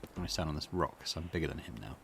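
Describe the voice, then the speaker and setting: A man's voice: rumbling low, racing, fairly bright tone, slight background noise. A man hosting a game playthrough, musing casually and rambling as he reads options aloud. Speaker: gaming channel host.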